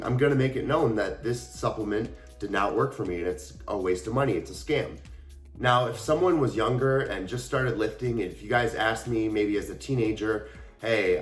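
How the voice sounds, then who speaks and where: A man's voice: on the low side, echoey room. A young adult man, a fitness coach, talking casually and earnestly to his viewers with a stuffy voice from a cold. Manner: earnest, explanatory, addresses viewers directly. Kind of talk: casual talk to camera.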